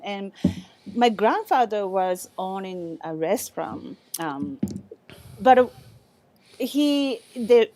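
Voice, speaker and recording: A middle-aged woman from Japan, a chef speaking as a guest in a relaxed interview, halting and often restarting her sentences. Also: medium pitch. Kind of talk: casual interview chat.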